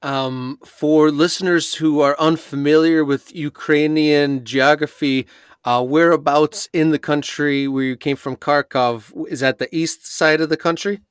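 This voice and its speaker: Male podcast interviewer, conversational. Clean resonant tone, close dry recording, measured phrasing with pauses. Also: a little high, steady tone, clean tone, dry recording. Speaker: podcast host.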